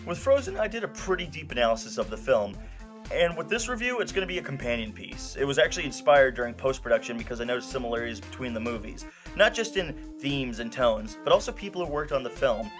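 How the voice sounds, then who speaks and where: A man's voice: medium pitch, animated, fast talker, fairly bright tone, soft background music. A man hosting and narrating a scripted film review in a wry, conversational, emphatic way. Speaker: film reviewer, show host.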